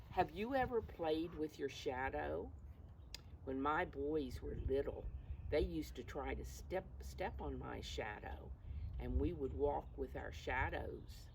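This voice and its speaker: A woman reading a picture book to preschoolers outdoors, pausing to explain and question in simple teacherly language. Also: low-pitched, poor audio. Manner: simple teacherly phrasing, questions to young listeners. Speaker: storyteller for preschoolers. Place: outdoors in a backyard. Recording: clear outdoor background noise.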